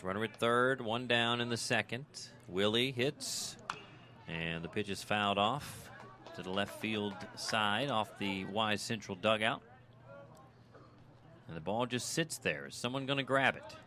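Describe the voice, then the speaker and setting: Bright-voiced American man calling baseball. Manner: clipped descriptive phrases, conversational between pitches. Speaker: radio play-by-play baseball announcer. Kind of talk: live sports commentary.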